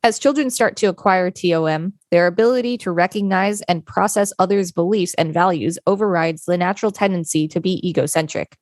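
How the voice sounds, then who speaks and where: A woman's voice: lowish voice, brisk, few pauses, a little mellow, no echo, studio quality. A woman hosting a podcast and reading an encyclopedia article aloud. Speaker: podcast host.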